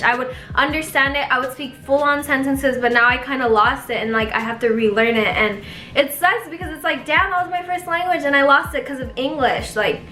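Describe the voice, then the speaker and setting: Young female YouTuber, bright tone, casual filler-heavy chat, echoey room with faint music. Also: high voice. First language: Spanish. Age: young adult. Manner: chatty, filler-heavy, giggly asides.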